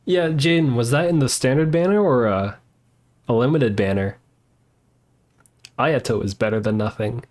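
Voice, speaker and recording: A man's voice: medium pitch, moderate pace, long pauses, a little mellow. A man chatting casually as he live-streams a video game. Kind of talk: casual live-stream chat, reading game dialogue aloud.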